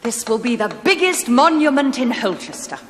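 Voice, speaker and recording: Imperious upper-class English widow, declamatory TV drama delivery, lively pitch, long hall reverb. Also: female voice, moderate pace. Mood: haughty, fretful. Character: haughty, imperious aristocratic English widow, fretful and snobbish. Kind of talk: theatrical television play dialogue. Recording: echoey stone hall, faint noise.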